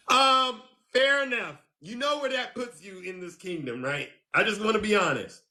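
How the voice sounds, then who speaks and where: A man's voice: high voice, animated, measured pace. A man hosting a live call-in stream, teasing his callers in a playful way. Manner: playful, teasing, swings between loud and soft. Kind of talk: casual live call-in chat.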